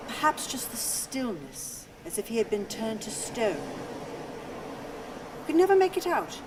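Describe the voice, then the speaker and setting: A weary, detached wife played by an actress in a radio drama, speaking in cool, measured phrases. She sounds set back from the mic, with clear noise around her. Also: big pitch swings. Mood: measured recollection. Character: weary, cool, matter-of-fact wife of a troubled man. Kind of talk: radio play acting. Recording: distant from the mic, noisy ambient rumble.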